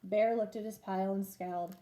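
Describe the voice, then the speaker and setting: A woman reading a children's story aloud in an expressive, deliberate way, like a teacher with pupils. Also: mid-range voice.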